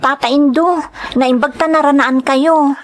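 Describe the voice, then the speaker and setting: A woman's voice: sits high, steady tone, a little slow. A woman playing a worried young woman in an audio drama, with an Ilocano accent, telling her family's story. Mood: concerned. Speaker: actor in a radio-style drama. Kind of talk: dramatized narration and dialogue. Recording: clear background noise.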